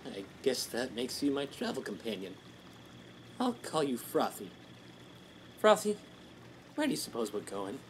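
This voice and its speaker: A mischievous, unhinged cartoon rum-runner played by a man talks in wordy, sardonic flourishes. The pitch swings freely and theatrically, with faint noise and ambience behind the voice. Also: big pitch swings, unhurried, long pauses. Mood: amused, sardonic. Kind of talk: animated comic dub acting.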